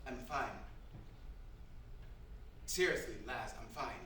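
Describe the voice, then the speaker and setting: A man's voice: mid-range voice, slow pace, pushed and bright. A man playing a defiant, weary young prisoner, blunt and streetwise, in a staged screenplay reading. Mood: irritated. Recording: distant mic in echoey noisy room.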